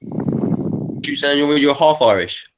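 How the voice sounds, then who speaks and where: A man's voice: a little high, slow, noisy background. A middle-aged man hosting a casual online hangout, talking earnestly and repeating words as he gathers his thoughts. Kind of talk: casual group chat.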